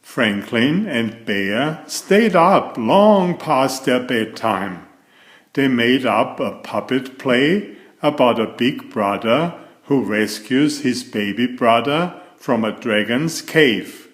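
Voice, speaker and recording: Slow, lively man reading a storybook, echoey room. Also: mid-range voice. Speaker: storybook narrator. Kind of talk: read-aloud children's story narration.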